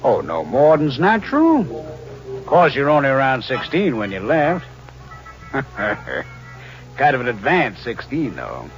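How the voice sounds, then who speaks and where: A man's voice: mid-range voice, wildly swooping pitch, slow, long pauses, heavily acted. An actor playing a folksy, knowing small-town American lunch counterman in a 1940s radio drama, chatty and teasing. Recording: muffled vintage radio recording, faint music.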